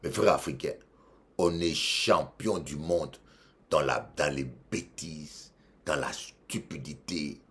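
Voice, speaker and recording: Congolese-accented male bishop, low voice, emphatic sermon delivery with repeated lines. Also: slow. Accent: Congolese French. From Democratic Republic of the Congo. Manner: emphatic, swings between loud exhortation and quiet asides, repeats phrases. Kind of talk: preaching sermon.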